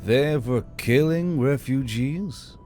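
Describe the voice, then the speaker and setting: Curious, loyal male soldier for a fantasy audiobook, done by a man, earnest, mellow, light music bed. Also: mid-range voice, slow, lightly acted. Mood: surprised, curious. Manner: questioning, earnest. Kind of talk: audiobook character voice. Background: soft background music.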